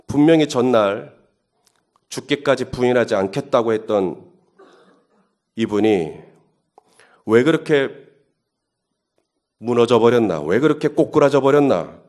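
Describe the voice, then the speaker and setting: A male pastor gives a sermon in a mid-pitched voice. He moves from easy, conversational explanation to rising, emphatic questions. His tone stays personal rather than formal. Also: animated, unhurried, pauses often, a little mellow. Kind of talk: sermon at early-morning Holy Week service.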